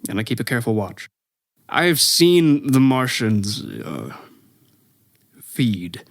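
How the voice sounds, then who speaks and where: An actor playing an exhausted, haunted professor who survived an invasion, delivering a halting, brooding radio play monologue. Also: male voice, mid-range voice, big pitch swings, no room sound, clean studio sound. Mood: wary, dazed. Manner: halting, brooding, stammering over words.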